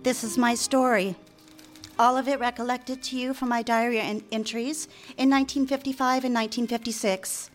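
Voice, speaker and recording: An actress playing a young 1950s secretary in a radio drama, confiding and lovestruck, live on a theater stage. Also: female voice, a little high, fairly even intonation, normal speed, faint music behind, natural voice. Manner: confiding, direct address to listener. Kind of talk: radio drama narration and dialogue.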